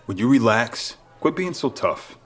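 A steady, dutiful man in an audio drama. His medium-pitched voice stays dry and easygoing. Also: measured pace. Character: steady, easygoing male family member, dutiful.

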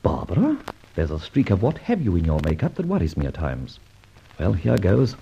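An actor playing a suave, flippant gentleman adventurer, bantering and clipped, in an old radio drama. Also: sits low, huge pitch swings, normal speed, gravelly, slight background noise, heavily acted. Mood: wry. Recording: muffled old radio transcription.

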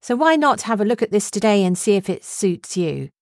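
A woman's voice: medium pitch, big pitch swings, a little fast, dry recording, clean studio sound. A middle-aged British woman presenter reading a scripted promotional message.

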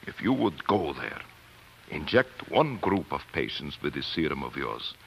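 An actor playing a grave, fatherly older scientist in 1940s radio drama, speaking deliberately and emphatically. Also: a bass voice, sing-song, vocal fry, fairly bright tone, slight background noise, exaggerated voice. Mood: grave, advising.

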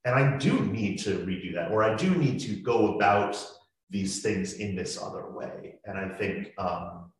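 A man's voice: lowish voice, measured pace. A man talking earnestly about making a podcast drama, with many restarts, on a panel. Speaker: podcast creator. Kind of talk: panel discussion. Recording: echoey room.

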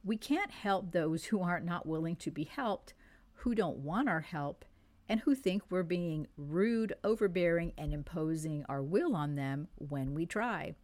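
A woman who grew up in France, with French as her first language, gives a spiritual forecast for the month. Her voice sits a little low. She talks in an earnest, measured way and leans on key words. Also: animated, darkish tone. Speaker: spiritual teacher.

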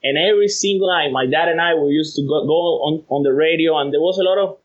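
A man's voice: on the high side, fast, lo-fi sound. A cheerful man from Cuba with a Cuban accent, a Spanish speaker being interviewed as a podcast guest, laughing easily as he rambles. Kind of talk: casual phone interview.

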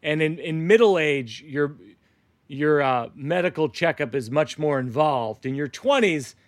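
A man hosting a casual podcast chat with another comedian, telling jokes in a dry, deliberate way. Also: fairly high pitch, lively intonation, no echo.

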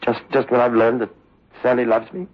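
A man's voice: mid-range voice, level tone, brisk, natural voice. An actor playing a tormented young man in his twenties in 1960s radio horror, stammering and desperate. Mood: fearful, hushed. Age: 20s. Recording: dull, muffled old radio recording.

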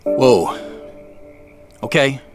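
A man's voice: medium pitch, expressive, extremely slow. Man caught snooping, conciliatory, hesitant, naturalistic radio play, mid-range voice, faint music. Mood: startled, placating. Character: startled, placating man caught snooping at night. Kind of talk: naturalistic audio drama dialogue.

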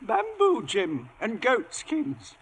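A man's voice: very high-pitched, wildly swooping pitch, slow pace, fairly bright tone, exaggerated voice. A man playing a pleading, half-crazed marooned castaway who talks in rustic sailor dialect in an old radio drama. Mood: crafty. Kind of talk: 1950s radio drama acting. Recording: noisy old radio broadcast.

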